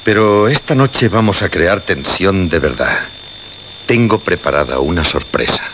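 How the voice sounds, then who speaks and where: An actor with a Castilian Spanish accent playing a brooding, obsessed horror radio host, terse and preoccupied, in a radio drama. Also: male voice, low voice, expressive, a little slow, fairly bright tone. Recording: muffled radio recording, faint noise.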